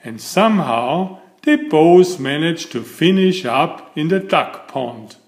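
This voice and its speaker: Animated, unhurried male storyteller. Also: on the high side, roomy sound. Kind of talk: picture book read aloud.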